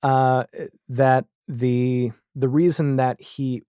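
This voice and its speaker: A man speaking as a podcast guest in a casual, reflective conversation, with halting, thoughtful phrasing. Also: medium pitch, flat delivery, mellow, clear, resonant tone, no echo, studio quality.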